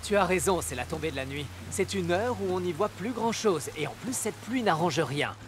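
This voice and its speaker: A man playing a gentle, cautious father in a French-dubbed children's cartoon, explaining things expressively. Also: sits high, lively intonation, moderate pace, noisy background. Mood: earnest explaining.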